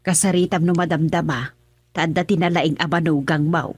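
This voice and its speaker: Brief: a husky, fussy Ilocano mom, lowish, dry. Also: female voice, fairly even intonation, normal speed, dry recording. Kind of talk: radio drama acting.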